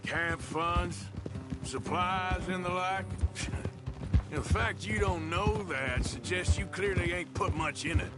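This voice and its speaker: An actor playing a skeptical, seasoned cowboy outlaw with an American accent in a video game, dry and teasing. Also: male voice, high-pitched, slow pace, over loud music. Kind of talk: video game dialogue acting.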